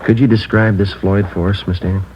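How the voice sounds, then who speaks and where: Guy acting a stoic radio cop narrator, deep n fast, deadpan, dull old recording. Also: slight background noise, lightly acted. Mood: questioning, businesslike. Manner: clipped, deadpan, matter-of-fact. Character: stoic, businesslike male police sergeant. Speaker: narrator and lead police detective. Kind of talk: 1950s radio police drama acting and narration.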